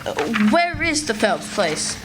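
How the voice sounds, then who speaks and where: A woman plays a backwoods boy in old-time radio theatre, high-voiced, folksy and a little anxious. The recording carries clear noise. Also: very animated, takes it slowly. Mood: uneasy. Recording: noisy live stage recording.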